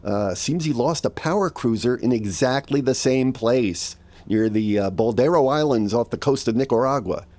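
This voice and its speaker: A man playing an amiable, matter-of-fact insurance claims man in a 1950s-style radio drama, with small hesitant pauses. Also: medium pitch, animated, a little mellow, natural voice. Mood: matter-of-fact briefing.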